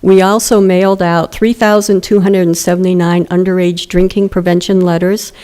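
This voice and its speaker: A woman presenting at a town council meeting, quiet and soft-spoken at a podium microphone. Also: on the low side, level tone, normal speed. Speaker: grant program presenter.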